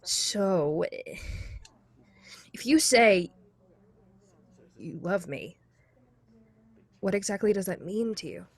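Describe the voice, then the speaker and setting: A woman's voice: medium pitch, animated, slow, pauses often, breathy edge, exaggerated voice. A young woman playing a skeptical, persistent girlfriend in a contemporary radio drama, dry and argumentative as she questions her partner. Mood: probing. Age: young adult. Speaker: student voice actor.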